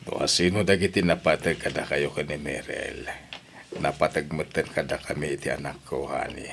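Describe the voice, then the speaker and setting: A man playing a very deep-voiced elderly Ilocano father. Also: fairly even intonation, normal speed, a bit bright, a little hiss or hum. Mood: earnest, tender. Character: elderly father, devoted and sentimental family man. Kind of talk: Ilocano audio drama acting.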